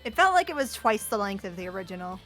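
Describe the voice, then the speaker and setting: A woman's voice: medium pitch, very animated, quick pace, fairly bright tone, soft background music. A woman on a game stream, chatting casually with friends about the game. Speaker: streamer and fandub performer.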